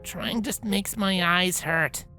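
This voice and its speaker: A man's voice: high-pitched, slow, sharp tone, soft background music, pitched above the performer's own voice, heavily acted. A man voicing a cocky, brash young female pegasus athlete in a fan fiction reading, boastful and slangy. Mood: frustrated.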